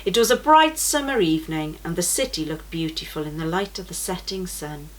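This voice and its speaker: Give me a woman reading a children's story aloud, gentle and unhurried, a bit low-pitched. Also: expressive, poor audio. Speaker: children's church story reader.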